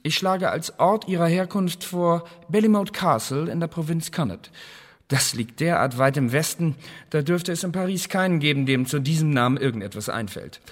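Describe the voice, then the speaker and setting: An actor playing a meticulous, bureaucratic secret service officer in a German radio play, talking in a precise, officious and formal way. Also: male voice, medium pitch, lightly acted. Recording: reverberant hall-like room.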